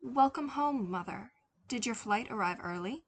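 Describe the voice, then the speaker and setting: A woman voicing a polite young boy in a story, careful and clear, eager to please his parents. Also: a little high, lively intonation, normal speed, slight background noise.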